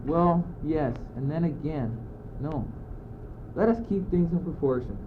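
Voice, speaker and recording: A man's voice: a little high, measured pace, lightly acted. A teenage male student actor playing a cool-headed, philosophizing young courtier in an amateur radio play, with a declamatory, argumentative delivery. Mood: reasoning coolly. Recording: muffled phone-like old tape, loud noise.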